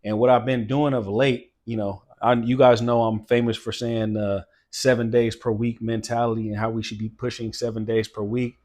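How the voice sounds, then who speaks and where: A man's voice: medium pitch, level tone, normal speed, few pauses, a little mellow. Earnest motivational man in his late thirties. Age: late 30s. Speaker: fitness channel host. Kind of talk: motivational talk to camera.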